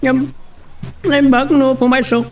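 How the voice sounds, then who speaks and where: An earnest husband in an Ilocano love drama, performed by a man. His voice is unusually high for a man. The delivery is that of radio acting. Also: little pitch movement, unhurried, darkish tone. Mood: hesitant. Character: husband character longing for a son.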